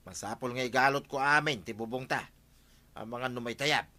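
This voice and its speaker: Guy playing cocky ilocano husband dodging work, radio comedy, really bright punchy voice. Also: medium pitch, moderate pace, natural voice. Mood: practical. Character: cocky, evasive village husband who dodges farm work.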